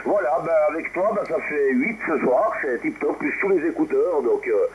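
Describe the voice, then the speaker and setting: A man's voice: fairly high pitch, lively intonation, normal speed. A man chatting casually and ramblingly as controller of an amateur radio net, heard over radio. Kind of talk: casual ham radio chat, roll call. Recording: muffled, very noisy shortwave radio reception.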